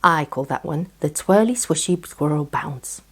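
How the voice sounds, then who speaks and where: A woman voicing an excitable, bouncy young female squirrel in a children's storybook, chirping and eager. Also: sits low, normal speed, breathy edge, exaggerated voice. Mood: excited. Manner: chirping, exclaiming.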